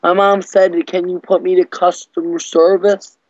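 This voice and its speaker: A man's voice: sits high, level tone, normal speed, darkish tone, dry recording, voice pushed up. A young man voicing a polite, pleading young schoolboy in hesitant, childlike phrases. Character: whiny polite young schoolboy wanting a lunchbox. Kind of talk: prank phone call. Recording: slightly muffled computer call audio.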